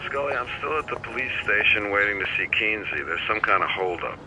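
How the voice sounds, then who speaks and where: An actor playing a casual, low-key FBI agent checking in over a call link in an audio drama. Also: male voice, expressive, brisk, over music. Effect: radio or phone link. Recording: noisy remote call link.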